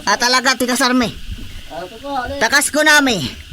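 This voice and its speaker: A woman's voice: high-pitched, normal speed, sharp tone. A woman playing an exuberant, party-loving wedding celebrant in a radio comedy, emphatic and repetitive, with an Ilocano accent. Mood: festive. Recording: clear crowd sounds behind.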